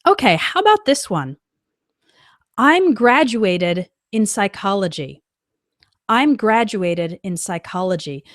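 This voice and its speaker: A woman teaching an English lesson on a live stream, reading out example sentences slowly and clearly as she answers a chat. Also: mid-range voice, very animated, dry recording, clean studio sound.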